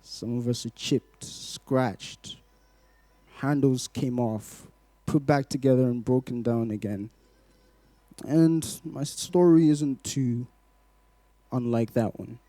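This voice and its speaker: A man in his twenties from Glasgow, born in Malawi, speaking to a congregation as a guest giving testimony, in a measured, poetic way. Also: mid-range voice, dark tone, no echo. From Glasgow, Scotland, born in Malawi. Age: 20s. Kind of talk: rhythmic spoken-word poetry in places.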